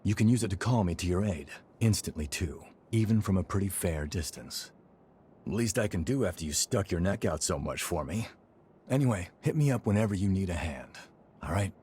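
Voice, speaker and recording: Deep-voiced, easygoing fighter. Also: male voice, lively intonation, a little fast, dark tone, a little hiss or hum. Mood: friendly. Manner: casual, colloquial. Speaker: video game character. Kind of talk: video game cutscene dialogue.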